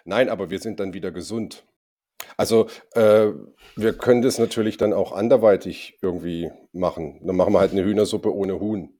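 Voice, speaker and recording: Slightly hoarse man, low voice. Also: fairly even intonation, normal speed, mellow. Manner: dry, teasing, hesitant with many ums. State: slightly hoarse, recovering from a cold. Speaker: podcast co-host. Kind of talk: casual chat.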